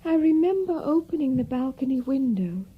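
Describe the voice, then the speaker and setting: An actress playing a frail, anxious young gentlewoman in an audio drama, with a breathy, hesitant, languid delivery. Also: high-pitched, sing-song, moderate pace. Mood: uneasy. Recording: muffled, dull recording.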